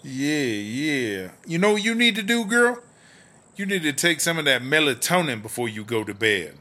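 A man playing a sassy, gossipy, foul-mouthed streetwise woman inmate in a comedy audio drama, with slangy, drawn-out exclamations. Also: a little high, big pitch swings, bright, forceful tone. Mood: playful, sassy. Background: music.

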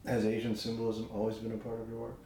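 A man hosting an interview, asking his questions slowly and hesitantly with many "um"s. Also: fairly low pitch, monotone, warm tone. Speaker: interviewer host. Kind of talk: conversational interview. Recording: very echoey room with background noise.

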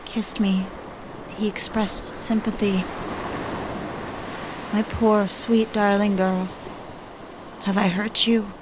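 Actress as slow, intimate, darkly devoted young wife. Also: female voice, medium pitch, level tone, background noise, natural voice. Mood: wistful, intimate. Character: intense young wife daring her husband, darkly devoted. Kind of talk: audio drama acting and first-person narration. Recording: music under the voice.

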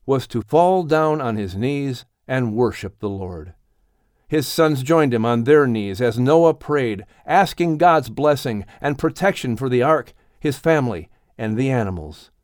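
A male narrator reads a storybook with dramatic flair. His pitch moves in a lively way through the telling, and the sound is dry and close. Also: measured pace, no room sound. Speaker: audiobook narrator. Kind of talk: expressive scripted storybook narration.

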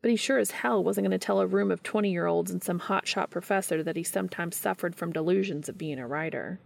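Low-pitched female story narrator. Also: a little fast, creaky. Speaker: fan fiction reader.

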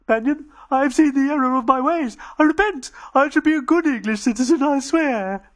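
A man voicing a frightened male alien prisoner who pleads and begs in a strained, high, thin voice. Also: normal speed, a bit bright. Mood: pleading. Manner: desperate, begging.

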